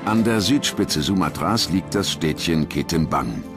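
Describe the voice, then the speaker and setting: A man narrating a documentary from a script in a grave, measured, dramatic storytelling manner. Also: low-pitched, animated. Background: background music.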